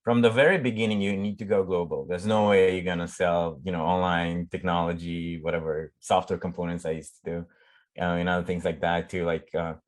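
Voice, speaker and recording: A middle-aged man from Romania with a Romanian accent, a startup CEO chatting in an interview, often pausing and restarting as he searches for words. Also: deep voice, flat delivery. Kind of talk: casual conversational interview.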